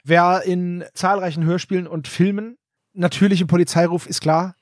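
Podcast host, man, higher-pitched, chatty and dry-humoured, dry close mic. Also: flat delivery, moderate pace, no room sound. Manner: chatty, hedging on names, dry jokes. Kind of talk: casual chat reviewing an audio drama.

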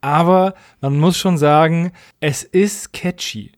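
A man's voice: on the high side, takes it slowly, no room sound, clean studio sound. A man chatting casually as a podcast co-host, with a wry, colloquial manner. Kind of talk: casual review chat.

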